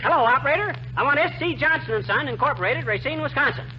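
High-voiced blustery American husband. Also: male voice, animated, fast talker. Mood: chatty. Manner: folksy, blustering. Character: blustery, boastful, wisecracking husband. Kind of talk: 1940s radio comedy acting. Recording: muffled, noisy 1940s radio broadcast.

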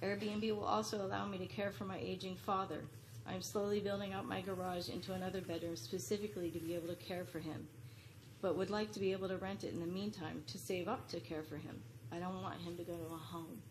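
A woman's voice: on the low side, flat delivery, fairly quick, background noise. Earnest middle-aged woman reading a statement. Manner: earnest, pleading, read from notes. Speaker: public commenter. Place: large council chamber, indoors. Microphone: podium microphone.

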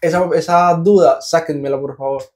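A man's voice: fairly high pitch, fairly even intonation, normal speed, darkish tone. A Colombian man in his thirties hosting a reaction video, amused and laughing, talking straight to viewers on a lapel mic. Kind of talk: casual reaction commentary. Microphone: clip-on lapel mic.

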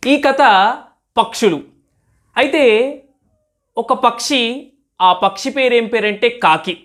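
A woman's voice: a little high, slow pace, fairly bright tone. A woman telling a moral story as host, teacherly, asking questions and repeating answers as if to a young child.

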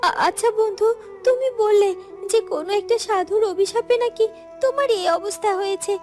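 Very high-pitched, tender young orphan. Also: female voice, over music. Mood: concerned. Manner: earnest, emotional, halting repetitions. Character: tender-hearted young orphan, sorrowful and sympathetic. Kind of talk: Bengali fairy-tale cartoon acting.

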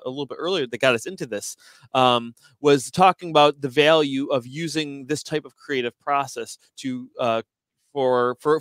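A man's voice: medium pitch, a bit bright, no room sound. A man in his thirties hosting a casual podcast, explaining points with lots of fillers. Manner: explanatory, quick to agree, many fillers. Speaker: podcast host.

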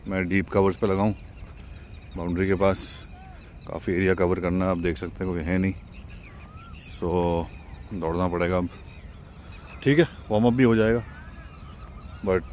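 A man's voice: low-pitched, darkish tone, a little hiss or hum. A man casually narrating a match-day vlog at an outdoor cricket ground, chatty and explaining the plays as they happen. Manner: chatty, mixes English into Hindi, explains plays. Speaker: vlogger and amateur cricket player.

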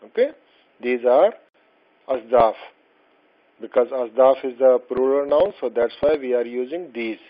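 Male language tutor, not a native speaker of Arabic, mid pitch, patient and halting with fillers, dull lo-fi voice-over. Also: animated. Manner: slow, patient explaining with many fillers and restarts. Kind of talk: explaining a textbook lesson. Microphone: voice-over over slides.

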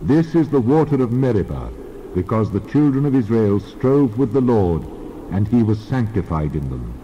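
A stern, commanding divine voice, as a man puts it on, heavy and solemn in a dramatized scripture reading. It sits low and booms out fairly loud. The sound is a little dull and lo-fi. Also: sing-song, normal speed, a little hiss or hum. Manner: solemn, pronouncing judgment. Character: the Lord, stern commanding male deity.

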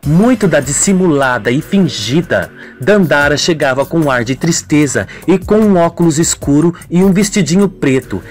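Brazilian female narrator, radio soap: melodramatic, animated, music bed. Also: low-pitched, moderate pace, few pauses. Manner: melodramatic storytelling.

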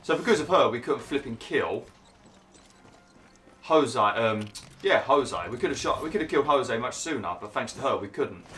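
A man's voice: medium pitch, lively intonation, normal speed, fairly bright tone, soft background music. A young man commentating over gameplay, chatty and grumbling, saying he is losing his voice. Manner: chatty, grumbling, laughs at himself. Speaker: gaming YouTuber.

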